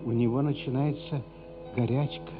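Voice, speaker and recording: An actor as a grave storyteller, narrating a radio drama in a measured, dramatic way with deliberate pauses. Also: male voice, mid-range voice, fairly even intonation, lightly acted. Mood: hushed, grave. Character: grave male storyteller of a childhood tale. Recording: muffled narrow radio sound, music behind.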